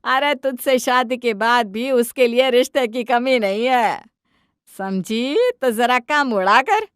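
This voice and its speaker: A woman's voice: a little high, expressive, slow pace, a bit bright, smooth, dry recording, clean studio sound. A woman voicing a taunting, emphatic older mother-in-law who scolds and defends her son in a domestic cartoon story. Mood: scolding, taunting.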